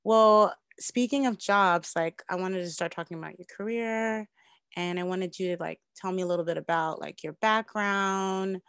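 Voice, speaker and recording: Creaky-voiced woman interviewer, casual. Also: on the low side, fairly even intonation, normal speed, a bit bright, dry recording. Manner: informal, encouraging interjections. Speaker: interviewer, host.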